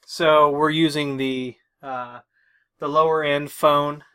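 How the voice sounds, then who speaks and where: Middle-aged guy doing a casual how-to, kinda higher voice, explains as he goes. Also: little pitch movement, slow, sharp tone, clean tone. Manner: informal, talks through each step as doing it. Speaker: tech tutorial host. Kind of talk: casual instructional walkthrough. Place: small room with tech equipment, indoors.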